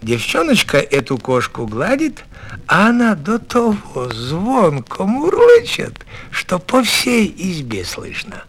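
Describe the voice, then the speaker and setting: High-voiced man narrating, hugely expressive. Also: slow pace. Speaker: storyteller. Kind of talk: expressive fairy tale narration.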